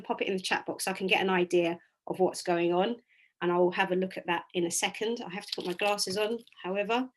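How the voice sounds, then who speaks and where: A woman presenting a live online wellbeing workshop over a video call, chatty and direct with many ums and restarts, her voice slightly raspy today. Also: lowish voice.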